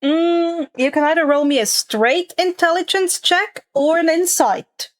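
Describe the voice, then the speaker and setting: Female game master, high voice, unhurried narration of a fantasy tabletop game.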